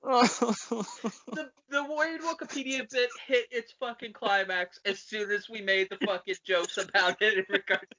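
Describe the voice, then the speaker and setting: A woman hosting a comedic podcast, chatting casually and excitably with plenty of emphatic swearing. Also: mid-range voice, a little slow, highs cut off. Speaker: podcast host.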